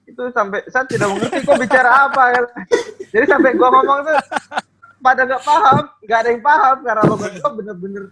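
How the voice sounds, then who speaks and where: A man from Papua telling stories in a casual chat among friends, in animated Jakarta slang. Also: extremely high pitch, a little fast. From Papua, Indonesia. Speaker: podcast co-host. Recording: dull lo-fi audio.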